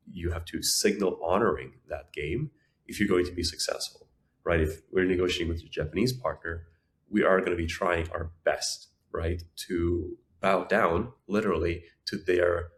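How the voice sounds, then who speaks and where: A man with a very low voice who grew up in Ukraine and Israel with Russian and Ukrainian as first languages. He talks as an interview guest, explaining his points in a measured, conversational way and often repeating words for emphasis. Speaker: podcast guest, entrepreneur.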